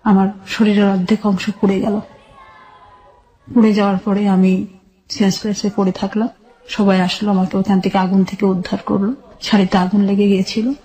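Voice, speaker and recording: Sombre, even-toned Bangladeshi woman. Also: medium pitch, normal speed, poor audio. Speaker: guest telling her life story. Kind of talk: personal testimony in a radio interview.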